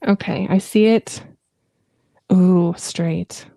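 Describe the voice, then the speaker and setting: A woman's voice: on the low side, fairly even intonation, drawn-out words, vocal fry, warm tone. Upbeat woman with a Minnesota accent. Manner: upbeat, enthusiastic, giggly. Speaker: co-host, former phonics teacher. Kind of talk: casual livestream craft chat.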